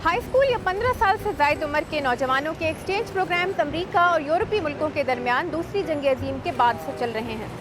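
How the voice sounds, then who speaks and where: A woman with a high voice narrates news facts in a formal, informative tone. Music plays clearly under her voice-over. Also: poor audio.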